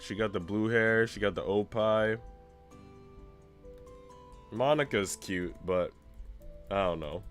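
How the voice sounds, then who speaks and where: Man, fairly low pitch, casual streamer talk, story reading, profane slang, faint music. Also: fairly bright tone. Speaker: game streamer. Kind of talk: casual chat mixed with reading visual novel narration aloud.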